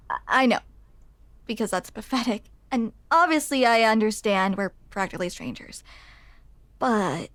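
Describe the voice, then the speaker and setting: A woman's voice: fairly high pitch, no echo. A young woman playing a grumpy, smitten barista in an intimate ASMR roleplay, awkward and hesitant as she confides in the listener. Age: young adult. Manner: awkward, hesitant, self-deprecating, confessional.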